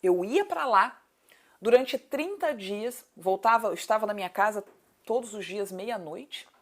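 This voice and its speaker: A woman's voice: medium pitch, expressive, unhurried. A woman of thirty-eight with a southern Brazilian accent, answering conversationally as an interview guest, emphatic, repeating points and asking rhetorical questions. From Paraná, southern Brazil. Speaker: city council candidate, interview guest.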